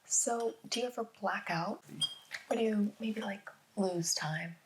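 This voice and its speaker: A woman playing a calm, patient detective in a soft-spoken ASMR roleplay, often dropping into a whisper as she gently probes and reassures. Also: mid-range voice, measured pace, very noisy, lightly acted. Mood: gently probing.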